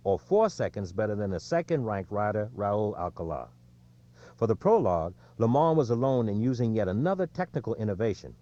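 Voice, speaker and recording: A man reading a nonfiction sports audiobook in a measured storytelling way, with emphasis on the quotes. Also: lowish voice, mellow, dull audio. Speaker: audiobook narrator. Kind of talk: scripted nonfiction narration of a sports book.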